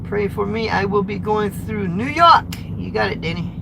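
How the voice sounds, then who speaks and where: American man, high-pitched gravelly voice, trucker live chat, blunt rambling talk, noisy truck cab. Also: animated, normal speed. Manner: rambling, blunt, restarts often. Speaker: trucker vlogger. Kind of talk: live-streamed chat with viewers.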